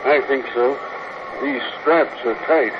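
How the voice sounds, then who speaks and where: An actor playing a frail, determined old man with a halting, wistful delivery in a 1950s radio drama. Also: medium pitch, natural voice. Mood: strained, stoic. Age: older. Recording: noisy, muffled old radio recording.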